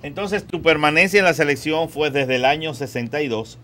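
A man's voice: on the high side, a little fast, fairly bright tone. Dominican male sports show host. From Dominican Republic. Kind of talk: TV sports talk show interviewing. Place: TV studio.

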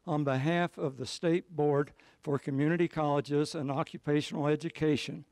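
A man's voice: fairly high pitch, fairly even intonation, normal speed, a little mellow, no room sound. An older man from a state board giving short ceremonial remarks into a handheld mic, with dry humor. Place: plain indoor room.

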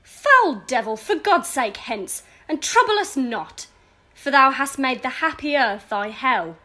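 Woman doing a furious grieving widow, old verse drama, high voice, swoops around, takes her time. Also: big pitch swings, bright, forceful tone, exaggerated voice. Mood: scornful fury. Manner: theatrical, scornful, deliberate. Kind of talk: Jacobean verse audio drama acting.

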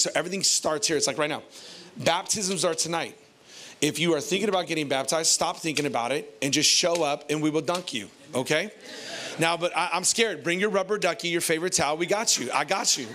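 An American male pastor delivers an informal sermon in a bright, forceful voice pitched a little high. He is animated and conversational, using colloquial phrasing and repeating phrases for emphasis. He speaks into a handheld microphone from a church stage. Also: quick pace.

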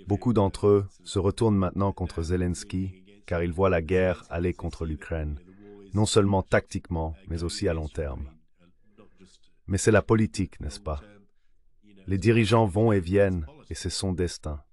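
Low male ai dub voice reading out translated interview answers. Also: normal speed, darkish tone. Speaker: AI dubbing voice for an interview guest. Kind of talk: translated interview voice-over, read out.

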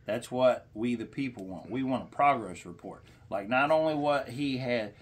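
A middle-aged man argues as a caller with a complaint. His delivery is halting but insistent and tends toward lecturing, at an ordinary male pitch in a small room. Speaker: caller to a senator's office. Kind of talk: confrontational phone complaint.

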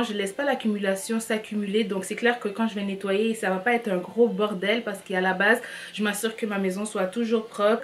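A young woman with a Quebec French accent, chatting casually to the camera as a vlogger, with many fillers. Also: fairly high pitch. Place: small bright room indoors.

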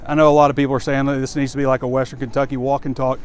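A man's voice: mid-range voice, little pitch movement, racing, clean tone. A man in his forties giving frustrated, blunt, rambling walk-and-talk sports commentary into a phone at arm's length. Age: 40s. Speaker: sports reporter and publisher. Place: outdoors, walking around a stadium at night. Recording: outdoor handheld recording with ambient noise.

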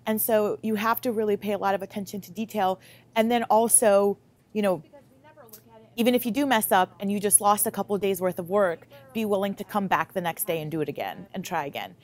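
A young woman in her late twenties with an American accent speaks at a medium pitch. She answers interview questions as a student researcher, explaining things clearly and articulately. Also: fairly quick, a little mellow. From Lithuania, moved to the US Midwest at about eight. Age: late 20s. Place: laboratory, indoors. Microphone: lapel mic.

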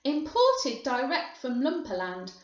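An eccentric, boastful candy maker from a storybook, acted out by a woman. The pitch is high and swoops with theatrical emphasis. It is heard in an echoey room. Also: wildly swooping pitch, measured pace, full character voice. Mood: boastful, emphatic. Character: eccentric, excitable, boastful male candy factory owner. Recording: muffled, boxy echoing room.

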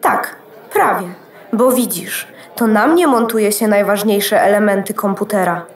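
A woman's voice: medium pitch, a little slow, close to an everyday voice. A woman playing a gracious, easily flustered motherboard hostess in a children's audio drama, polite, effusive and rambling. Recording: echoey room.

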